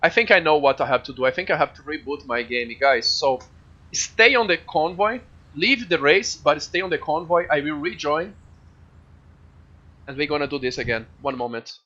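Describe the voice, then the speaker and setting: A man with a Brazilian Portuguese accent, a game streamer chatting casually and excitedly with viewers, swearing freely. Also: fairly high pitch, moderate pace, lo-fi sound.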